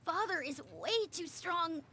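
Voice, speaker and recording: An actress voicing a small, childlike fairy companion in a video game, chatty, wide-eyed and expressive. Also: female voice, very high-pitched, a little slow, slight background noise, full character voice. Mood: worried. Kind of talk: video game cartoon-like voice acting.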